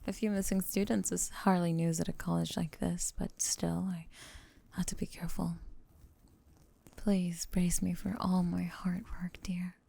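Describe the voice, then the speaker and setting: A woman performs a young lamia roommate, lovestruck and sweetly menacing, in an ASMR roleplay. Her voice is lowish and heavily creaky, with a soft, husky whisper. She coaxes the listener slowly and tenderly, with a possessive edge. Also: flat delivery, exaggerated voice. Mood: quietly sinister. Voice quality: breathy, whispery. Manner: slow, tender, coaxing.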